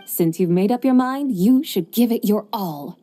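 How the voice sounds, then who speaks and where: A woman voicing a composed, gently teasing young woman with a secret in a game cutscene. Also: medium pitch, expressive, moderate pace, lightly acted. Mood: serene. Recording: soft game music underneath.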